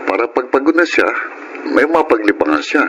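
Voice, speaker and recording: An actor playing a trusting, protective boyfriend in a Tagalog radio drama, with an earnest, reassuring manner. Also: male voice, medium pitch, animated, normal speed, gravelly, noisy background.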